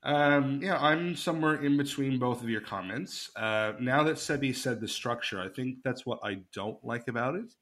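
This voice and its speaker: A man's voice: medium pitch, moderate pace, few pauses, fairly bright tone. A man hosting a casual podcast chat, telling a story with lots of fillers and enthusiasm. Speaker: podcast host.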